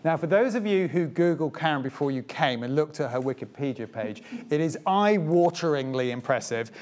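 A man's voice: a little high, lively intonation, moderate pace. A man hosting an evening talk at a museum, formally introducing his guest from notes and then interviewing her. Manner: formal, reads from notes, gently humorous. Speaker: host of a talk event. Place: museum event space before an audience.

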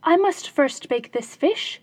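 A woman doing a high, stubborn wife. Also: expressive, slow. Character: stubborn shoemaker's wife, busy cooking.

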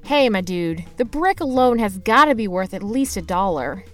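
A woman playing a scrappy, broke young mover who tells their misadventures in an expressive, slangy, playfully dramatic comedy audio-drama style. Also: mid-range voice, normal speed, faint music behind. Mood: salesy, cheeky. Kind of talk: first-person narrated comedic audio drama with acted dialogue.

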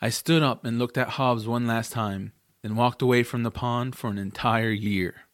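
A man narrates a family fantasy tale in the first person, as a scripted audiobook. His voice sits at a middle pitch, and the recording is close and dry. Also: steady tone, moderate pace, no room sound.

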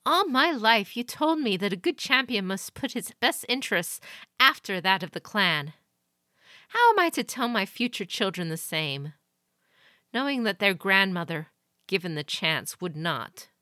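A woman's voice: mid-range voice, sing-song, unhurried, sharp tone, dry recording, studio quality. Woman voicing a headstrong young son, bitter, accusing tone.

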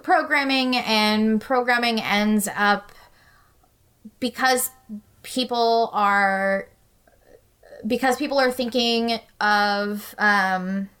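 A woman's voice: on the high side, fairly bright tone, clean tone. A woman talking as a podcast guest in a casual, opinionated discussion, earnest and articulate.

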